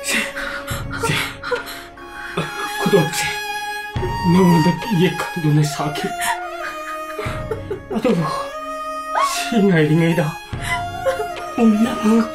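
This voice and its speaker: Guy playing a remorseful dying young man, pleading, breathy, fast, slightly high voice, music bed behind. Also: natural voice. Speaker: radio drama actor. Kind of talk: Manipuri audio play acting. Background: music score.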